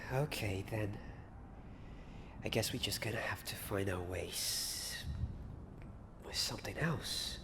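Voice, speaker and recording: A woman playing an eager wizard-school student in a soft, hesitant, often whispered ASMR roleplay. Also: rumbling low, slow pace, pauses often, heavily acted. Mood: wistful, hesitant. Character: eager Hogwarts student hunting for a secret ghost party. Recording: noisy ambience.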